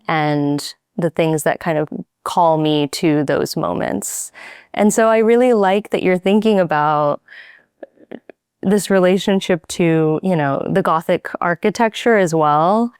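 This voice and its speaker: A woman interviewer speaking in a reflective, measured way as she sets up her questions. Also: sits low, clean tone, no room sound, studio quality. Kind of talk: conversational interview.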